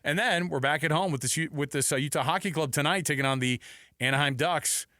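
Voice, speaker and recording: An American man hosting live sports talk radio, casual, animated and slangy, on a close studio microphone. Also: on the high side, fast talker, no room sound. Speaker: sports radio show host.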